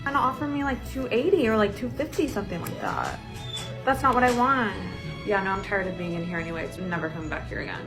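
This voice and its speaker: A woman's voice: mid-range voice, very animated, quick pace, over music. A woman customer speaking indignantly and demanding a better deal at a counter.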